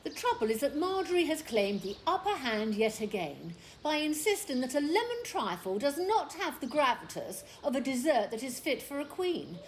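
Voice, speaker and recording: A woman's voice: sits high, sing-song, normal speed, hardly any pauses, slight background noise, low-quality recording. A woman acting in an audio play as a prim, easily offended older English village wife, scolding with precise, haughty British diction. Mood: indignant.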